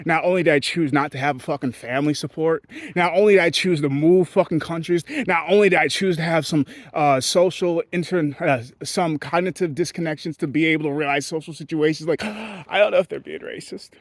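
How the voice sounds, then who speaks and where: A man from Haiti talks straight to his audience in a candid, meandering rant full of swearing. His voice sits a little high, and it leaps up and drops low as he goes. The sound is dry and close, with no room around it. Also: fairly quick, hardly any pauses, no room sound. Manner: blunt, profane and rambling, with sudden jumps in pitch. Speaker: vlogger. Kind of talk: candid ranting monologue.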